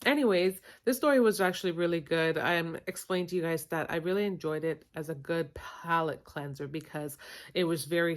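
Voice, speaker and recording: A middle-aged woman vlogging about books in a casual, rambling way, correcting herself and laughing at her own words, with a stuffed-up nose. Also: fairly low pitch. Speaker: book vlogger. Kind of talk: casual chatty book review. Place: basement at home.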